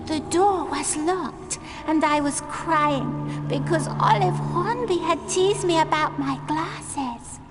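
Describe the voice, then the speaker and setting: A woman's voice: high-pitched, slow pace, few pauses, music in the background. A woman playing a mournful teenage girl ghost in a video game, telling her story plaintively.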